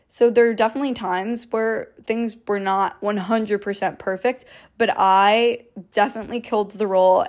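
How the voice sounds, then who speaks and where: A young woman in her twenties with a low alto voice, hosting a casual solo podcast, chatty and sprinkling in "like" and swear words. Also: darkish tone. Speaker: podcast host.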